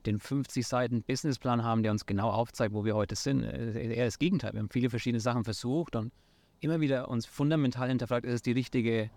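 A man's voice: medium pitch, steady tone, fast, a little mellow, dry recording. A man in his thirties from near Nuremberg with a Franconian accent, talking as an interview guest with many fillers and restarts. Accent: Franconian German. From Franconia, near Nuremberg, Germany. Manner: hesitant, many fillers and restarts, mixes in English words. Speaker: startup founder guest. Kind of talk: casual interview talk.

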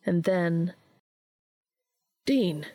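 A woman's voice: lowish voice, expressive, very slow, dark tone, no echo, clean studio sound. A woman hosting and reading fan fiction aloud as scripted narration. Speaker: podcast host and reader.

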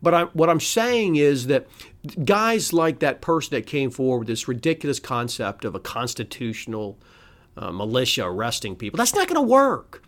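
Emphatic man with a lively voice. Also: mid-range voice, normal speed. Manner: emphatic, sarcastic rhetorical questions with scoffing laughs. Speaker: podcast host. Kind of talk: solo political commentary monologue.

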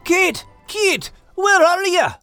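A man voicing a hot-headed, impatient Scottish animal in an audiobook story, blurting and excitable but loving. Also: very high voice, slow, soft background music, voice pushed up, full character voice. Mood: frantic calling. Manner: impulsive, blurting.

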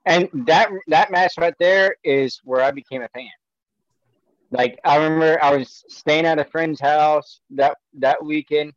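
A man's voice: a little high, steady tone, unhurried, no room sound. A man in his late thirties chatting casually as a panelist on a podcast. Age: late 30s. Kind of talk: casual chat. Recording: dull, lo-fi, dry sound.

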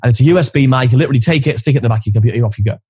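A man co-hosting a podcast with a loud voice, chatting casually and explaining gear step by step, recorded on a studio mic in an office corner. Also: medium pitch, rapid-fire, husky, no room sound. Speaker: podcast co-host. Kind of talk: casual chat. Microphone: studio condenser mic on boom arm.

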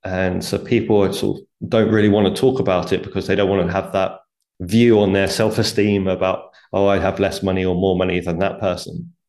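A man's voice: deep voice, little pitch movement, fairly quick, a little mellow. A man, a guest author, explaining money habits earnestly in a conversational interview, with light laughs.

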